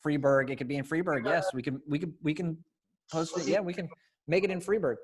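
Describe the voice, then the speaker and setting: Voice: medium pitch, fairly even intonation, fairly quick, darkish tone, slightly rough. An American readers theater director coaching casually over a video call, encouraging and hesitant in phrasing. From Springfield, Missouri, United States.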